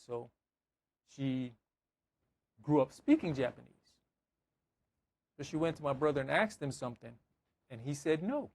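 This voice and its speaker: A man's voice: on the high side, gravelly. A man preaching in a conversational style, with emphatic repetition and acted-out examples. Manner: emphatic, repeating phrases, acting out dialogue. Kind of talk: conversational sermon with scripture reading and anecdotes.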